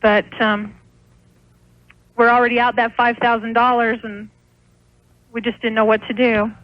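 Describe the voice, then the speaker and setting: A woman's voice: a little high, flat delivery, measured pace, no echo. An American woman calling in to a radio show by phone, explaining things in a hesitant, rambling way. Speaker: caller, small restaurant owner. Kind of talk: unscripted phone-in conversation. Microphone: telephone. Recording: dull lo-fi radio phone call.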